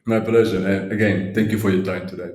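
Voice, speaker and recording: A male podcast host opens with polite thanks and formal questions. His voice sits low and steady. The room around him adds a noticeable echo. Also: brisk. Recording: echoey room.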